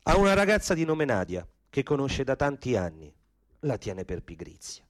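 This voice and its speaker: A man's voice: mid-range voice, big pitch swings, normal speed. A man narrating a literary story as an actor in radio theatre.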